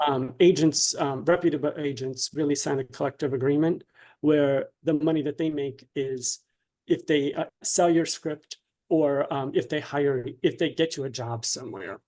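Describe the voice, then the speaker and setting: Male interview guest, slightly higher register, careful and halting explainer, informal teaching tone. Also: steady tone, darkish tone. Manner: hesitant, halting, measured explaining. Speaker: guest writer being interviewed. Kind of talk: informal instructional interview talk.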